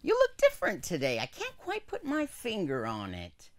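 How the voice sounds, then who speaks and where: A man's voice: high voice, wildly swooping pitch, normal speed, exaggerated voice. A middle-aged man playing a goofy, dim, cheerful school coach in a kids' comedy, with a rambling, clownish delivery. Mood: cheerful, oblivious. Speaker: school coach and science teacher.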